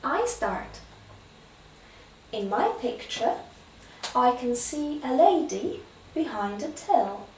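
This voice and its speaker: A woman conducting a spoken English exam as the interviewer, giving clear, measured prompts. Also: sits high, sing-song, darkish tone, echoey room, recorded from a distance, a little hiss or hum, low-quality recording.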